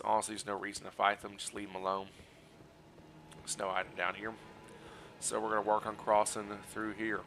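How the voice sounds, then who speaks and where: A man streaming a video game while sick, hoarse and scratchy, talking through his play in a relaxed, explanatory way and greeting his chat. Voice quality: scratchy, low energy. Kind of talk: casual gameplay walkthrough commentary.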